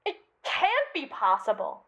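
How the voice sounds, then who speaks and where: A woman's voice: mid-range voice, big pitch swings, measured pace, fairly bright tone. A woman playing a haughty, sarcastic evil female robot with a pompous, wordy, theatrical manner in an audio roleplay. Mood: flustered denial. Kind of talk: ASMR audio roleplay monologue.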